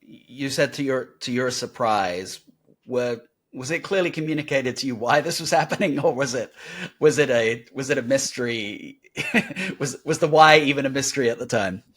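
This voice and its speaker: A man's voice: medium pitch, expressive, normal speed, fairly bright tone, slightly rough. A man hosting a podcast, asking questions in a friendly, hesitant way. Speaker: podcast host. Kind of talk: conversational interview.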